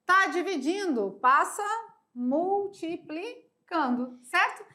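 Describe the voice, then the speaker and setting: A woman's voice: very high-pitched, lively intonation, slow, bright, forceful tone. A woman teaching a televised math lesson, upbeat and checking in with her students through frequent tag questions.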